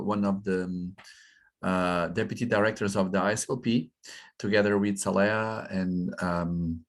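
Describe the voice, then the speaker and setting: A man, a professor introducing himself on a video call, hesitating as he searches for words. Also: lowish voice. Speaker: statistics professor. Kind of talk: halting self-introduction in a meeting.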